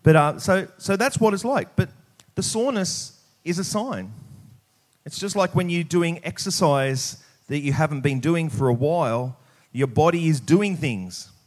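Australian man preaching in a conversational way. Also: fairly high pitch. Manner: conversational, informal, plain-spoken. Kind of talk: church sermon with prayer, scripture reading and casual anecdotes.

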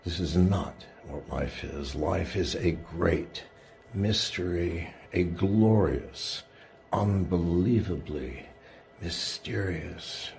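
A man delivers a dramatic, preachy monologue in a low, deep register. He speaks slowly and deliberately, and his pitch leaps up suddenly for emphasis. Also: vocal fry, darkish tone. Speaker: lone speaker addressing listeners from a shielded room.

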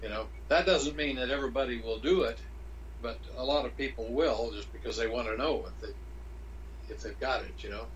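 A man co-hosting a podcast in casual conversation, halting and restarting as he searches for words. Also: medium pitch, highs cut off, a little hiss or hum. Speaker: podcast co-host.

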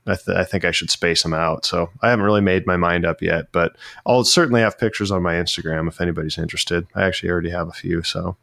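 A man's voice: low-pitched, quick pace, creaky, hardly any pauses, fairly bright tone, dry recording, studio quality. A man from Florida chatting casually on a podcast, rambling and correcting himself as he goes. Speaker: podcast co-host, metalworker. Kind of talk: casual chat.

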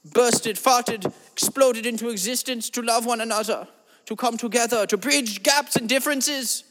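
A man doing a high-voiced German-accented guru. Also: fairly even intonation, normal speed, a bit bright, in a large hall. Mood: grandiose rambling. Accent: put-on German accent. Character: eccentric German-accented man, grandiose self-styled spiritual guru. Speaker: comedy podcast host. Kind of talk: improvised comedy monologue in character.

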